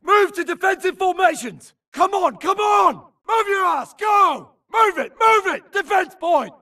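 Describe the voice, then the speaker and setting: Male infantry grunt for a shooter game, forceful and sharp, urgent barked callouts, a little off-mic. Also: very high-pitched, expressive, unhurried, recorded from a distance, exaggerated voice. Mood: panicked urgency. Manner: urgent, clipped, forceful barking. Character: battle-hardened male infantry grunt barking combat callouts. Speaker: soldier in a video game. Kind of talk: military shooter game battle chatter.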